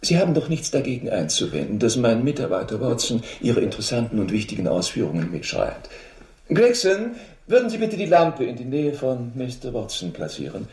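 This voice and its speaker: An actor in a radio drama playing a courteous, commanding gentleman detective, formal and politely insistent. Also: mid-range voice, big pitch swings, a little fast, roomy sound, lightly acted.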